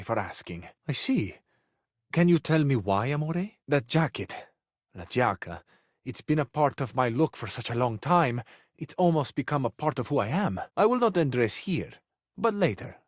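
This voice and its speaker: A man's voice: medium pitch, no room sound, studio quality. A man voicing a suave, passionate living cabinet in a video game, with an Italian accent and a florid, flirtatious, earnest way of talking. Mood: tender, romantic. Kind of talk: theatrical romantic video game voice acting.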